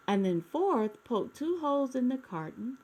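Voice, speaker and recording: A woman reading aloud to young children in an expressive storytime style, addressing her listeners directly. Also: high-pitched, unhurried.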